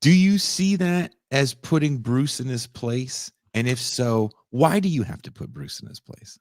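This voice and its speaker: A man's voice: medium pitch, sing-song, a little slow, darkish tone, no room sound, clean studio sound. A man hosting a podcast, asking earnest, searching questions in a casual way. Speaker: podcast host.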